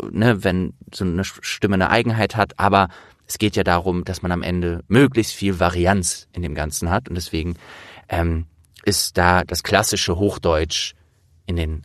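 Man, low voice, casual interviewer, informal explainer, very dry sound. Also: no echo. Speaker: interviewer and host.

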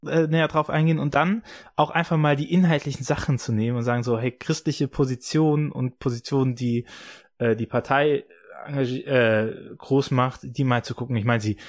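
A young man co-hosting a casual podcast debate, animated and sarcastic, with many restarts and fillers. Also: medium pitch, a little fast. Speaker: podcast co-host.

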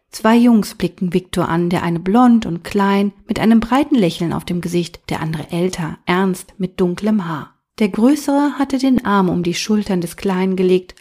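A woman narrating a children's novel as an audiobook, with a calm, even storytelling delivery. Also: medium pitch, husky.

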